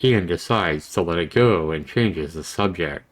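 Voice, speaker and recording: Guy reading out a visual novel, low voice, really slow and deliberate, drops casual comments. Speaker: video game reviewer and narrator.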